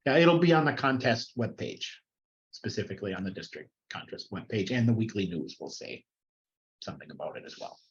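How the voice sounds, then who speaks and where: A man's voice: fairly low pitch, lively intonation, brisk. Man explaining rules over dull call audio. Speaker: program quality director of a club district.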